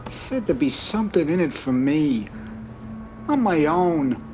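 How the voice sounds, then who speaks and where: An actor playing a weak, resentful older brother from a mafia family, pleading and aggrieved, with an American accent. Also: male voice, on the high side, very animated, measured pace, music in the background. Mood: nervous, pleading. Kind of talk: video game cutscene acting.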